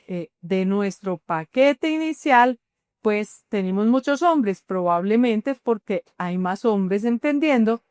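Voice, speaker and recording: A woman hosts an interview podcast with a smooth, fairly high voice. She chats easily, fills in with affirmations, and frames her questions with long introductions. The recording is close and dry. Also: a little mellow, no echo. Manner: chatty, affirming listener, long framing introductions. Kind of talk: warm podcast hosting and interviewing.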